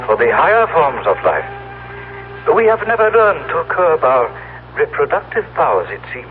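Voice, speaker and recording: Calm weird future man, higher voice, talks all formal n halting, old tinny radio show w music behind. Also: a little hiss or hum. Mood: solemn, measured. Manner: formal, stilted, halting phrasing. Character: strange calm male visitor from a future Earth. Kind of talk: old-time radio drama acting.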